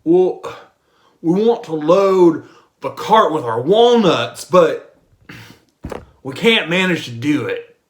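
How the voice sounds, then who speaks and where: A man voicing a simple-minded storybook villager, loud and earnest. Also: on the high side, lively intonation, slow pace, long pauses, voice pushed up. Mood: baffled, earnest. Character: foolish villagers loading walnuts with a fork.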